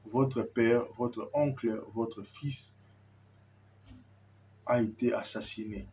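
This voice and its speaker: Congolese French man, mellow and warm tone, insistent and repetitive, stumbles over words. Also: medium pitch, steady tone, slow, a little hiss or hum. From Kinshasa, Congo. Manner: insistent, repetitive, stammers when searching for words. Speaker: commentator addressing viewers. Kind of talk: impassioned video monologue.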